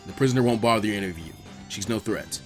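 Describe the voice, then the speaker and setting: A man voicing a gruff, weary, laid-back ninja mentor in a dramatic story reading, with dry, low-key muttering. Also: fast, faint music behind, natural voice. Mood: businesslike. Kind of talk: dramatic fan fiction character reading.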